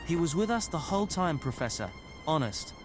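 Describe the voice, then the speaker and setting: A man's voice: fairly high pitch, very animated, fairly quick, music in the background. A man playing an earnest teenage wizard who leads fellow students in an audio drama. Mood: pleading.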